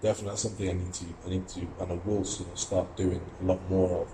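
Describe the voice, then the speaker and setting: A man's voice: low-pitched, flat delivery, racing, echoey room, loud music behind the voice, poor audio. A young man from Russia, an amateur boxer and student, giving earnest, reflective interview answers with many fillers and restarts. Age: young adult.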